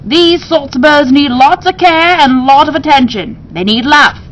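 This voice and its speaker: A woman's voice: sits high, normal speed, slight background noise, voice pushed up, close to an everyday voice. A woman voicing a chatty, protective male bird shop keeper from a storybook, with folksy, ungrammatical speech. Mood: earnest, insistent.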